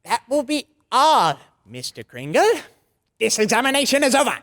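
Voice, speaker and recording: A man playing a nervous, officious personnel examiner in an old-time radio drama, curt and clearing his throat. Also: very high-pitched, wildly swooping pitch, moderate pace, fairly bright tone, heavily acted. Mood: irritated, curt.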